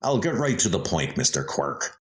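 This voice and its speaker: A man's voice: low-pitched, very animated, normal speed, pitched below the performer's own voice. A man voicing a polite, hesitant lawyer in a comic ghost story dub, speaking formally. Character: hesitant, deferential male lawyer reading a will.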